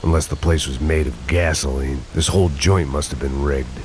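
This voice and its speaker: A man playing a bitter, burnt-out middle-aged bodyguard and ex-cop in a video game, narrating in a deadpan, sardonic noir style. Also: a bass voice, moderate pace, very noisy. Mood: grim, sardonic. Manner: world-weary, deadpan, wry. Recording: loud music over the voice.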